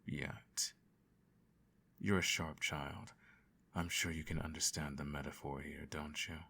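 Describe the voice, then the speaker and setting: A man playing a stern, cold evil emperor in an audio roleplay, speaking to a child in a measured, imperious, formal way. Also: flat delivery, vocal fry, sharp tone, slightly rough, exaggerated voice. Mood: stern, instructive. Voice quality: very deep voice. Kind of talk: roleplay audio, bedtime storytelling and lecture.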